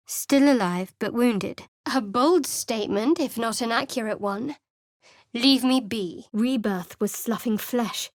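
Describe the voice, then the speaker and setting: A woman's voice: a little high, no room sound, natural voice. A woman playing a guarded, sardonic young female cleric in a fantasy video game, speaking in a cool, dry, clipped way. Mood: solemn, narrating.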